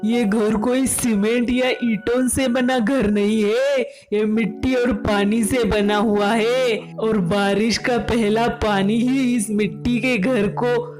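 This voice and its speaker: A woman's voice: a little high, fairly even intonation, slow pace, hardly any pauses, over music. A woman voicing a mocking, dismissive pigeon in a moral-story cartoon, with a sneering drawl.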